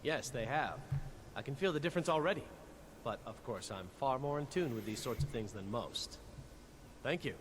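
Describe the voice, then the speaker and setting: A man's voice: mid-range voice, lively intonation, normal speed, slight background noise, natural voice. A man voicing a lofty, self-satisfied mage scholar in a fantasy video game, pompous yet courteous. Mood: smug, courteous.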